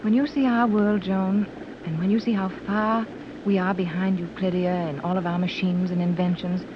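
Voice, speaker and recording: A woman's voice: fairly low pitch, level tone, moderate pace, close to an everyday voice. An actress playing a thoughtful, fearless woman explorer, articulate and questioning, in 1930s radio serial style. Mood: earnest, practical. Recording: dull, noisy old 1930s radio recording.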